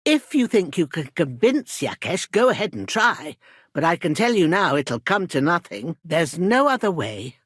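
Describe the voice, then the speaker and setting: A middle-aged village midwife in a medieval video game, weary and embittered yet fiercely protective of her own. Her delivery is earnest and emphatic, with a very lively melody. The recording is dry, with no room around the voice. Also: lowish voice, moderate pace, breathy edge, no echo. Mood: defiant, weary. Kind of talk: dramatic game dialogue acting.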